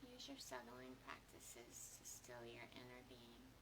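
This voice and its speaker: A woman with a very deep voice guides a meditation at an unhurried pace, leaving long pauses between phrases. Her delivery is hushed and deliberate, with plenty of gravelly fry. She is recorded at a distance from the mic. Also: big pitch swings. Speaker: mindfulness meditation leader at a counseling center. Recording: distant mic with clear background noise.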